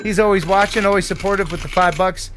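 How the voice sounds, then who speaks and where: A man hosting a live stream in a casual, chatty way, reading out donations with bursts of excitement. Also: high-pitched, fast talker, a little hiss or hum. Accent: neutral radio American. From Philadelphia area. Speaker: livestream host.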